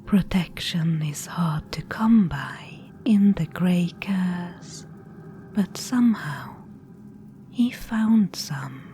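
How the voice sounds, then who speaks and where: A woman voicing a sinister, patient spider-woman guide in a breathy, deliberate whisper for a fantasy ASMR roleplay. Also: on the low side, expressive, a little hiss or hum, heavily acted. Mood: quietly gloating. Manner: slow, hushed, deliberate. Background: music.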